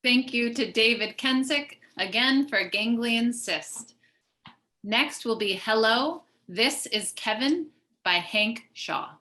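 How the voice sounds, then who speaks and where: A woman's voice: on the high side, slow, bright, forceful tone. A woman hosting a live online event, announcing each item. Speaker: host. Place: indoors, live video call.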